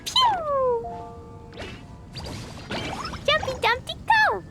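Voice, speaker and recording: A woman voicing an excitable, playful little girl with childlike exclamations in a video game. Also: very high voice, extremely slow, full character voice. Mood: gleeful. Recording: music behind the voice.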